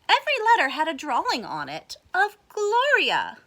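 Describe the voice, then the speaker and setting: A woman reading a picture book aloud to children in a very expressive way, with asides and questions for young listeners. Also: very high-pitched, takes it slowly.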